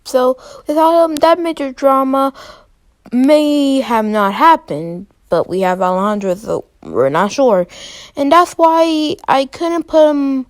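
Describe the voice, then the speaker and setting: A woman with a high voice hosts a ranking video. Her delivery is informal and opinionated, with playfully dramatic touches. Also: slow pace. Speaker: video host.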